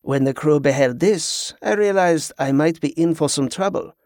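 A man's voice: a little high, normal speed, a little mellow, no echo, studio quality, lightly acted. A man voicing a crafty, hot-tempered old French pirate in an audiobook, with a French accent and effusive, flowery speech. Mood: genial, sly.

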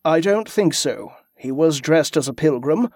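A man voicing a worried older male abbot who speaks in a measured, thoughtful way. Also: on the high side, expressive, darkish tone, no room sound, studio quality.

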